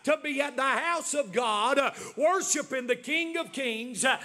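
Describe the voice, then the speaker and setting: A man's voice: extremely high pitch, measured pace, bright, forceful tone. A man in his forties preaching a sermon as pastor, with many "uh" fillers and emphatic repetition. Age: 40s.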